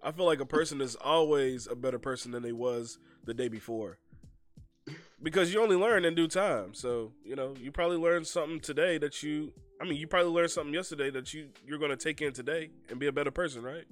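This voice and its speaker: A man's voice: fairly high pitch, fast talker, faint music behind. A young man hosting a casual podcast chat with a stuffy, sniffly nose, rambling laid-back and circling back to rephrase himself. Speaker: podcast host.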